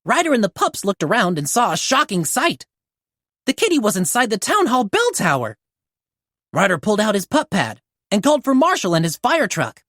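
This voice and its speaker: A woman narrating a children's storybook aloud in an animated read-aloud style. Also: medium pitch, normal speed, no room sound, studio quality. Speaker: storybook reader.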